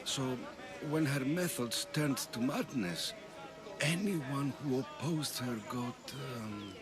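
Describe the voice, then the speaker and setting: Elderly temple priest, timid, pious, halting delivery, mid-pitched voice, music behind, game drama. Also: male voice, expressive. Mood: uneasy, guarded. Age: older. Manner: hesitant, halting, secretive. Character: timid, pious old Greek temple priest, nervous and evasive. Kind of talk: video game dramatic dialogue.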